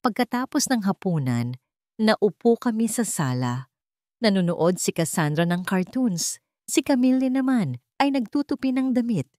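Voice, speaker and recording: A woman narrating a romantic drama in the first person, in an expressive, sentimental storytelling style. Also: medium pitch, normal speed, dry recording, clean studio sound.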